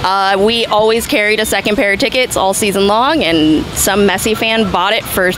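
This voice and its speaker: A woman's voice: medium pitch, normal speed, a bit bright, slight background noise, low-quality recording. A woman fan, blunt and laughing, speaking in a casual street interview. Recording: outdoors with music and crowd.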